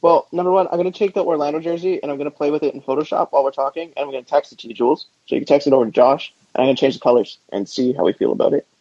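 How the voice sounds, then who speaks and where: A man with a mellow, slightly high voice co-hosts a casual sports podcast. He talks informally and gives his opinions freely. The recording is dry and somewhat dull. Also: racing, hardly any pauses, dry recording. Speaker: podcast co-host. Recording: dull, lo-fi audio.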